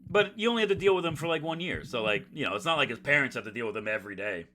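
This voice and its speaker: A man's voice: mid-range voice, animated, racing, bright, forceful tone, a little hiss or hum. A man giving casual, joking commentary with lots of slang as he reads through a visual novel. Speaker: let's player reading a visual novel aloud. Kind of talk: casual game playthrough commentary.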